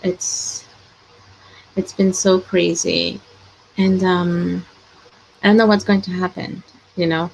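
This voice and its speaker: A woman hosts a casual livestream with a low, gravelly voice. She sounds worried and hesitant, sighing between thoughts. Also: level tone, slow, pauses often, darkish tone, a little hiss or hum, rough recording. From Ecuador. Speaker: host of a live chat.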